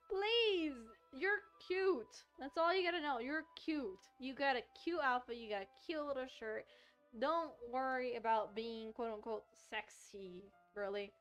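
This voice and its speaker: A high-voiced woman doing playthrough commentary over music. She reacts in an animated way, with exclamations and stretched-out words, like a streamer. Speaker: video game streamer. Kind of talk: playthrough commentary with reactions, reading dialogue aloud.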